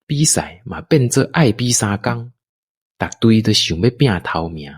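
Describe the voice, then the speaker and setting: Male narrator, Taiwanese accent. Very animated intonation, leisurely pace, storytelling for audio drama. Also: on the low side, husky, no echo, studio quality. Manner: unhurried, expressive storytelling delivery.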